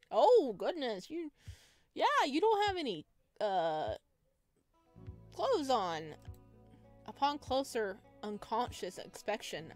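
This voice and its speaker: A woman's voice: fairly high pitch, a little mellow. A woman streaming a visual novel playthrough, reading aloud expressively with playful, chatty reactions. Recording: music playing behind the voice.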